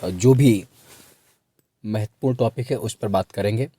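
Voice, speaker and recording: A man with a hoarse, sore-throated voice teaching a quiz lesson for exam students, repeating questions and prompting listeners to answer. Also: lowish voice, a little slow, warm tone.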